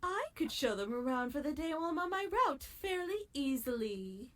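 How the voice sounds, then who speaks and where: A high, gushing cartoon chaos ruler. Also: female voice, big pitch swings, normal speed, fairly bright tone. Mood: apologetic, eager. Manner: theatrical, effusive, sweetly polite. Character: chipper, gushing female ruler of chaos city and her clones. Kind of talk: animated audio drama acting.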